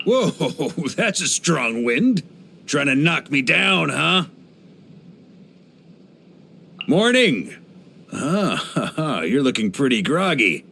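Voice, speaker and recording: An actor playing a genial, laid-back middle-aged knight commander in a video game, with a theatrical, easygoing manner. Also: male voice, a little high, very animated, slow pace, creaky, sharp tone, slight background noise, heavily acted. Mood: easygoing, jovial. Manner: jovial, laid-back, theatrical, given to chuckles. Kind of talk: English video game character voice lines.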